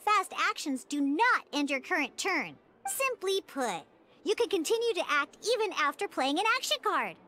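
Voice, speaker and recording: A woman voicing a peppy young cartoon mascot in a video game tutorial, chirpy and childlike, eagerly explaining with expressive exclamations. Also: extremely high pitch, normal speed, slight background noise, heavily acted. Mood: explaining, encouraging.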